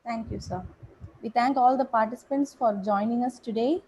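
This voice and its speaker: A woman's voice: on the high side, normal speed, a little mellow, rough recording. Polite woman moderating a webinar. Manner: polite and formal, addresses the guest as sir. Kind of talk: formal webinar hosting. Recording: faint background noise.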